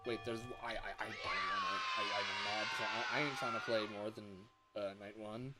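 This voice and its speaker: A man with a worn-out voice chatting casually on a livestream, halting and rambling as he comments on games. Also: lowish voice, fairly even intonation, over loud music. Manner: rambling, halting, breaks into chuckles.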